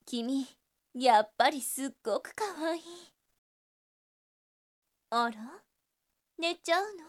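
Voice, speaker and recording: Slow, high-voiced seductive succubus. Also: female voice, animated. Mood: seductive coaxing. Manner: slow, coaxing, flirtatious, doting. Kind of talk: Japanese situation voice drama acting.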